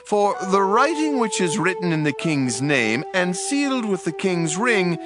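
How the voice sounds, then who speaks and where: A man playing an ancient king who hands down a decree in a measured, commanding way in a dramatized scripture reading. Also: sits high, very animated. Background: soft music.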